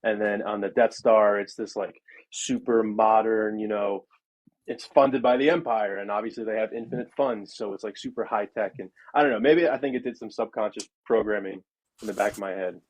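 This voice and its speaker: A man in his early thirties, a guest architect, talks through his career in a loose, rambling style. His voice sits on the low side, and his sentences are sprinkled with "like" and "um". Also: steady tone, a little fast, poor audio. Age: 30s. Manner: chatty and rambling, lots of "like" and "um". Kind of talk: casual interview talk.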